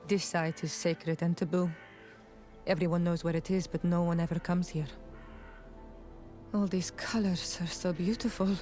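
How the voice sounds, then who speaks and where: A woman's voice: fairly low pitch, steady tone, moderate pace, pauses often, darkish tone, faint music behind, lightly acted. An actress playing a proud, loyal young warrior woman of a tribe in a video game cutscene, with solemn, formal and intense phrasing. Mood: awed.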